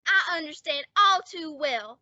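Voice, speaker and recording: A woman's voice: high voice, expressive, measured pace, bright, forceful tone, no echo, muffled recording, exaggerated voice. A woman playing an anxious, lovesick and cowardly teenage boy in an old-time radio comedy, earnest and overwrought. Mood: indignant, nervous.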